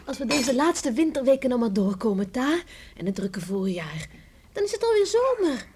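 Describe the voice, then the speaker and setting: High-voiced, earnest young farm daughter. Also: female voice, big pitch swings, normal speed, a little mellow. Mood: hopeful, coaxing. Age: young adult. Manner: eager, earnest, persuasive. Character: earnest, hopeful young farmer's daughter, protective and bright-spirited. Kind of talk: Dutch radio play acting. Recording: noisy old radio play recording.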